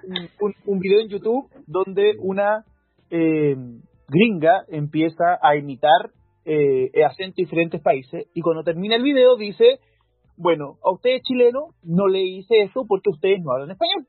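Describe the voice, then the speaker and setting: A man's voice: high voice, lively intonation, moderate pace, breathy edge, no echo, faint music behind. A man hosting a podcast interview, chatty with joking asides. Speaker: podcast host. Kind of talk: casual interview. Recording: dry phone-like call audio.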